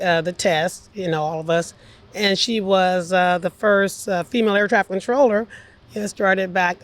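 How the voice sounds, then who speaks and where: An older woman telling personal stories casually as an interview guest, chatty and animated as she acts out what people said. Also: on the low side. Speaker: interview guest, former air traffic controller. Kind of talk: casual anecdotal storytelling.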